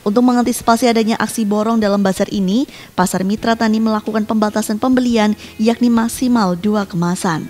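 A woman's voice: mid-range voice, a little fast. Woman reading a formal news voice-over. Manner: even, formal read. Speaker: news reporter.

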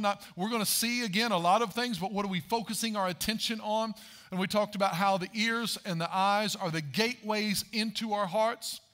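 A man in his forties delivers a sermon from a large church stage, his voice pitched high and bright. He preaches with rising emphasis and poses questions to the audience, heard through a headset mic. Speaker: pastor.

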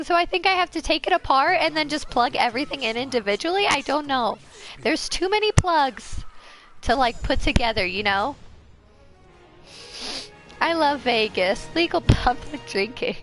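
A young American woman from Ohio streaming a fighting game while sick, coughing now and then. She chats with viewers and squeals and yells at the game. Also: high voice. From Ohio, USA. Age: young adult. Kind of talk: casual game stream chat. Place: home room, indoors. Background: faint game music.

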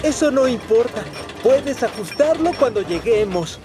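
A man's voice: extremely high pitch, animated, measured pace. A man voicing a proud, grumpy little steam engine in a Latin American Spanish cartoon dub, with an indignant, huffy manner. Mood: dismissive. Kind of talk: animated children's series dub. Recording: music behind the voice.

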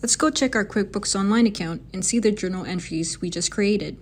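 A woman reading scripted narration for a software demo in an instructional, step-by-step manner. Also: mid-range voice, fairly quick, slight background noise.

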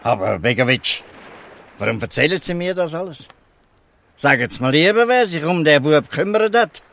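A gruff old fisherman in a Swiss German radio play. His pitch swings widely as he puts sly, pointed questions, protective and ironic. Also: big pitch swings, moderate pace, fairly bright tone, full character voice. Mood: reproachful, pointed. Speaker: actor in an audio drama. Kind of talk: dialect radio play acting.

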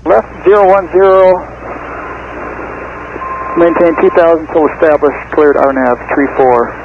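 Gravelly male pilot in noisy cockpit. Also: on the high side, fairly even intonation, a little slow. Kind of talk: clipped radio readback. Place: small propeller plane cockpit in flight. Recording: muffled narrowband cockpit audio, engine noise.

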